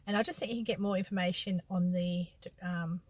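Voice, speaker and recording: A woman's voice: on the low side, fairly even intonation, fairly quick, no echo. A middle-aged woman with an Australian accent talking casually to her viewers, rambling and correcting herself as she goes. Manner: rambling, self-correcting, colloquial. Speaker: vlogger and secondhand reseller. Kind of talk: casual chatty vlog monologue.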